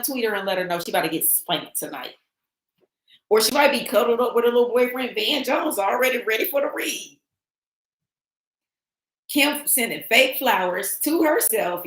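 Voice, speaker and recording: Slightly raspy woman hosting a livestream. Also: fairly high pitch, roomy sound, low-quality recording. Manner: chatty, animated, reads viewer comments aloud. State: losing her voice from travel. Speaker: livestream host. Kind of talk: casual livestream sign-off chat.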